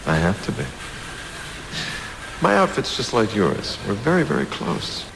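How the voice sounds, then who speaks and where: An actor playing a smug, condescending security chief in a crime audio drama, brusque and self-important with veiled menace. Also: male voice, on the low side, huge pitch swings, unhurried, vocal fry, noisy background, full character voice.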